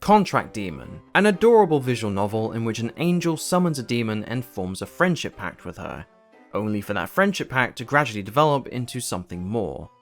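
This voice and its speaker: A man's voice: medium pitch, very animated, a little fast, soft background music. A man narrating a scripted video essay in an earnest, emphatic and casual way, as the host of his channel.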